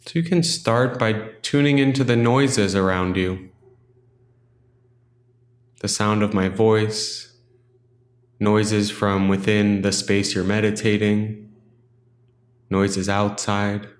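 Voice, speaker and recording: A man leading a guided meditation in a gentle, unhurried, instructional way, leaving long pauses between phrases. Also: on the low side, steady tone, roomy sound.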